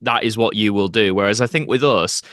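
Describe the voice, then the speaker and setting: A man's voice: lowish voice, brisk, fairly bright tone, dry recording, clean studio sound. A young British man chatting casually as an interview guest, reflective and anecdotal. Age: young adult. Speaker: podcast guest, actor and YouTuber.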